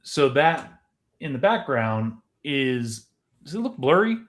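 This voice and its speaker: A man co-hosting a podcast, talking casually about films in an explanatory way, thinking aloud with pauses as he goes. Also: medium pitch, big pitch swings, fairly bright tone. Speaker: podcast co-host. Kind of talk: casual film discussion.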